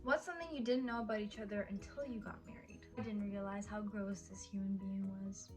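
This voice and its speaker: A woman's voice: mid-range voice, steady tone, a little fast, music in the background. A woman in her early twenties chatting casually and teasing her husband in a Q&A vlog, with lots of "like" fillers. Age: 20s. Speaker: vlogger. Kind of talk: casual couple Q&A chat.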